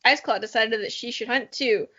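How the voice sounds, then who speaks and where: A woman's voice: a little high, brisk, sharp tone, highs cut off. A woman podcast co-host giving a dramatic reading of a childhood story with self-mocking, giggly asides.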